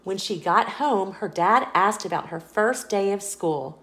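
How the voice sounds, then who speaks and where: A woman reading a children's picture book aloud in a playful, expressive storytelling voice, indoors. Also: medium pitch, a little slow. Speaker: storybook reader. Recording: roomy echo.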